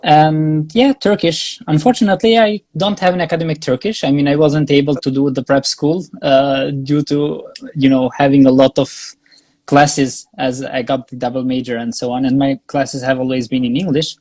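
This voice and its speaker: A young Albanian man speaking English with an Albanian accent as a guest in a casual interview, pausing often with fillers and restarts. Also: mid-range voice, fairly even intonation, a little mellow, no echo. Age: young adult. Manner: halting, many fillers and restarts. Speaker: guest, graduate student.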